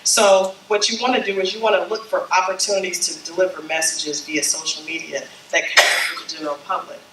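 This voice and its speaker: A woman's voice: fairly low pitch, fairly even intonation, brisk, reverberant, recorded from a distance. A woman with a slightly scratchy voice from a summer flu, giving a workshop talk to a small group and often checking in with "okay?" and "you know?". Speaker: branding consultant speaking to church leaders. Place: meeting room with a small group.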